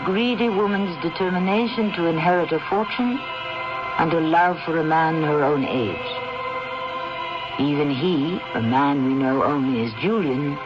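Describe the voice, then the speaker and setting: High-pitched male mystery narrator over music. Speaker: host and narrator of radio mystery show. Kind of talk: dramatic scripted narration. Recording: muffled vintage radio recording.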